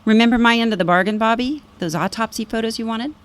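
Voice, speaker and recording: A woman's voice: fairly high pitch, quick pace, lightly acted. Woman playing a sly, prying informant in radio drama. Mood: insinuating. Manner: teasing, insinuating. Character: sly, prying adult woman trading in secrets.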